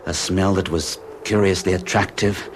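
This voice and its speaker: An actor playing a haunted, frightened man who tells his story with halting, dramatic intensity in an old radio horror drama. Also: low-pitched, steady tone, background noise, lightly acted. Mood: haunted, hushed.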